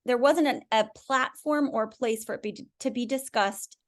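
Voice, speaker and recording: A woman's voice: a little high, normal speed. Woman explaining as an interview guest. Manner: explanatory, often checks with "right?". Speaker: expert podcast guest, clinical officer.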